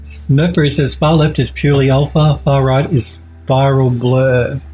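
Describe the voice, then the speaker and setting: A man's voice: mid-range voice, little pitch movement, measured pace, dark tone, slightly rough, faint music behind, rough recording. A man in his forties with a head cold that makes him sound a bit nasal, giving an enthusiastic casual critique of 3D art on a live stream at home. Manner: enthusiastic, repeats praise, restarts. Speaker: 3D art streamer reviewing viewer work. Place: home streaming room, indoors.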